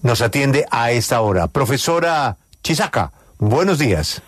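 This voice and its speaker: A man's voice: medium pitch, big pitch swings, unhurried, no echo, studio quality. A man hosting a live radio interview, speaking emphatically and repeating key phrases.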